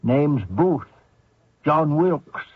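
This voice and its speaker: An actor playing a blunt, folksy small-town man who probes strangers, husky, in old-time radio drama style. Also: a little high, lively intonation, drawn-out words, dry recording, phone-quality sound, heavily acted. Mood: chatty, blunt. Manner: folksy, probing, plain-spoken.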